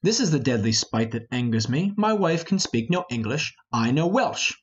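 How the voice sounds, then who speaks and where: Man as an earnest young lord, animated verse. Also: medium pitch, moderate pace, breathy edge, highs cut off. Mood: vexed. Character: earnest romantic young lord, lovestruck peacemaker. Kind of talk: amateur Shakespeare reading.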